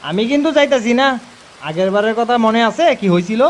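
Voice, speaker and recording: Man putting on very high-pitched chatty young villager. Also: lively intonation, brisk, a little hiss or hum. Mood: chatty, casual.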